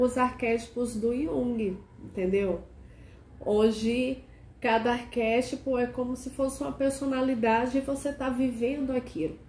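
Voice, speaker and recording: A woman talks slowly in a fairly high voice. She sounds stuffy and hoarse, as if getting over a cold, and chats with her viewers while asking them question after question. The room is echoey, with a faint hum behind her. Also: darkish tone. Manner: chatty, asks the viewer many questions. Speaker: archetype consultant and channel host. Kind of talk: casual vlog chat.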